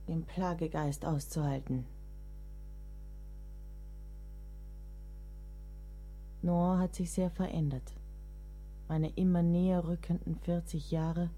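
A woman's voice: fairly low pitch, mellow. An actress in a radio play, playing a devoted but exasperated middle-aged woman writer with a motherly, wry, dramatic manner. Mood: weary, sober.

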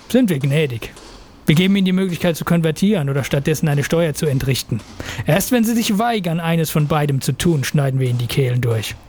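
A man's voice: on the high side, darkish tone, a little hiss or hum, close to an everyday voice. A man playing a fanatical Islamist recruiter in an audio drama, preaching in a solemn, declamatory way. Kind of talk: scripted audio drama monologue.

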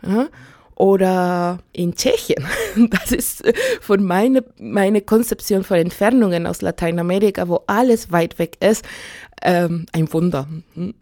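Chilean woman, middle-aged, non-native German, hesitant and thoughtful, mellow timbre, dry studio. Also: on the low side, measured pace, dry recording. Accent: Chilean Spanish. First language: Spanish. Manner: thoughtful, hesitant, many fillers. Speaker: interviewee. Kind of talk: casual radio interview.

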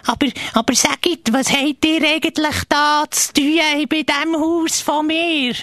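A woman's voice: high-pitched, fairly even intonation, takes it slowly, fairly bright tone, dry recording. A woman playing a curious, slightly puzzled grandmother in a live radio play, asking questions and repeating herself. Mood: curious, friendly.

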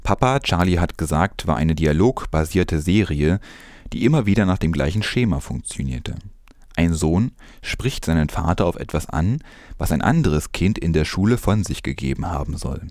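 A man presenting scripted news, reading in a measured, formal way with careful enunciation. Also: low voice, animated, a little mellow, no room sound, clean studio sound.

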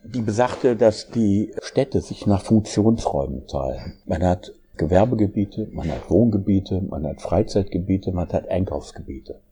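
A middle-aged man from Essen, a professor of economic geography, answering interview questions in a measured, explanatory way. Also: deep voice, animated, warm tone, husky. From Essen, Germany.

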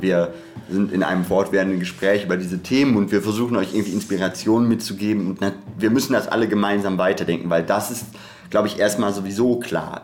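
This is a podcast co-host with a fairly low-pitched voice. The delivery is conversational and reflective, in an academic register. Sentences branch out, break off and get reworded as the thought develops. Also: darkish tone. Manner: discursive, hesitant, self-interrupting academic talk.

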